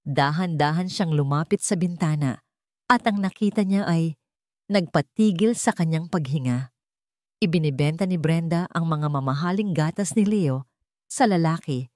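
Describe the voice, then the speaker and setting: A woman's voice: sits low, moderate pace, a little mellow, no room sound, clean studio sound. A woman narrating a scripted dramatic audio story.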